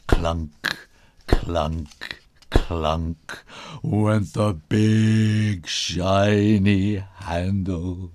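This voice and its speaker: A man's voice: low-pitched, fairly even intonation, drawn-out words, darkish tone. A man reading a children's storybook in a theatrical, suspenseful way, stretching out words, dropping into whispers and playing with sound words. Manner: playful onomatopoeia and repetition. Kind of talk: dramatic children's storybook read-aloud.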